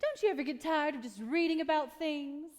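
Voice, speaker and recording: Woman acting saucy, very high-voiced flirt. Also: brisk, lightly acted. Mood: flirtatious. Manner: teasing, cooing, exclamatory. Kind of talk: old-time radio play acting on stage. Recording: noisy live taping in echoey hall.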